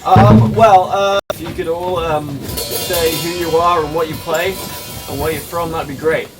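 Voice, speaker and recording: High bouncy guy hosting live radio, laughs a lot, kinda far from the mic with loud music around. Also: a little slow. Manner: upbeat and laughing, lively interviewer. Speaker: radio show host.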